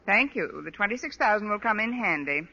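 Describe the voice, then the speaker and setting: An actress playing a wry, sarcastic single woman in an old radio comedy, with dry, deadpan wisecracks. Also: mid-range voice, brisk. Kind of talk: 1940s-50s radio comedy acting. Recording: noisy, muffled old radio recording.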